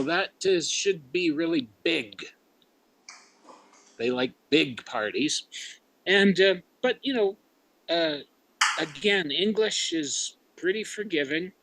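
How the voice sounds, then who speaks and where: Man, Canadian-raised, club grammarian; unhurried, musing, filler-heavy delivery, slightly high voice. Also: fairly bright tone, poor audio. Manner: slow and musing, with frequent fillers. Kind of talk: casual meeting report.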